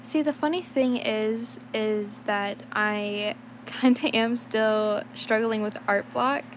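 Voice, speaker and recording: Slightly raspy sick young woman streamer. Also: on the high side, slow pace, slight background noise. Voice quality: slight rasp. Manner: rambling, thinks aloud, many fillers. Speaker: livestreaming digital artist. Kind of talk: casual chat while drawing on stream.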